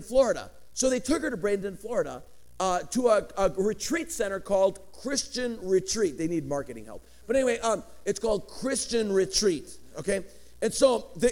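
A middle-aged man from Chicago whose first language was Greek, preaching a lively sermon with swinging inflections and joking asides. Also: sits high. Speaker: pastor.

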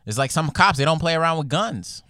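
A young man riffing in casual podcast banter, his voice swinging widely from low grumbles to high excited jumps. The tone is bright and forceful, and the sound is close and dry with no room around it. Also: brisk, no echo. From Puerto Rican and Dominican heritage. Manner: loud, animated joking with sudden jumps in pitch.

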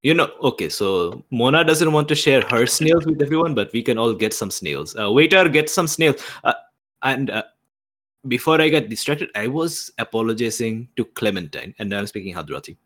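Wry man with a medium-pitched voice. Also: animated, moderate pace, fairly bright tone. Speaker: tabletop game player. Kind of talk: casual improvised role-play chat.

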